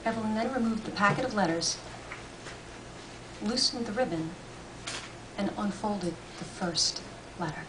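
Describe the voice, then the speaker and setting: A woman reading a story aloud as expressive scripted narration. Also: mid-range voice, a little slow, long pauses. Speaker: story reader. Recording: distant mic, faint room noise.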